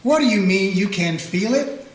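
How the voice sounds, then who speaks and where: A man's voice: sits high, animated, normal speed. A man playing a folksy small-town frontier sheriff who narrates in a drawling, plainspoken way. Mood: scoffing. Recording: roomy, echoey room.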